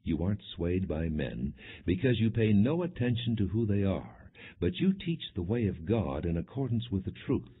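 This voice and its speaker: Man reading as a probing, husky religious official. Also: sits low, very animated, moderate pace, hardly any pauses, full character voice. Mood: flattering, probing. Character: probing, smooth-talking male religious officials. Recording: muffled phone-like lo-fi audio.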